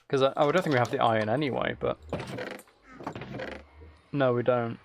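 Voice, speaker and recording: A man casually commentating on his game and chatting to viewers, muttering along in a low-key way, close to the mic. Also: normal speed, creaky, background noise. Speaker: streamer playing a game while chatting with viewers.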